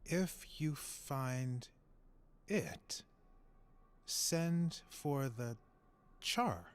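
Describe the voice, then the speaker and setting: A man's voice: medium pitch, expressive, drawn-out words, clear, resonant tone. An actor voicing a grave, formal male marshal in a fantasy video game. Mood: solemn.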